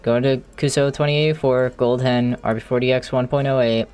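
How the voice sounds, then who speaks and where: A streamer thinking aloud in a halting, casual way while doing live coding commentary, with an unclear, mid-range voice. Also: mid-range voice, fairly even intonation, a little mellow, smooth. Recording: music playing behind the voice. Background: music.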